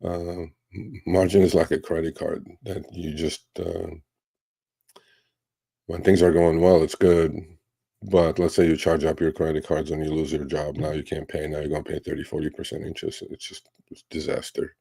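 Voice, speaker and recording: Fifty-something guy from turkey, low gravelly voice, flat, rambles on a livestream q&a. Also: moderate pace, dry recording. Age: 50s. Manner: relaxed, rambling storytelling with many fillers. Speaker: livestream host. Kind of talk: casual livestream Q&A.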